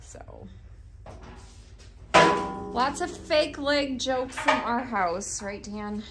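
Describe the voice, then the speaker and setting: A woman's voice: a little high, extremely slow, over loud music. A woman with a North American accent chatting casually and playfully as she films a vlog in a garage workshop, holding the camera. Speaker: vlogger filming her partner. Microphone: handheld camera, held by speaker. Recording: clear background noise.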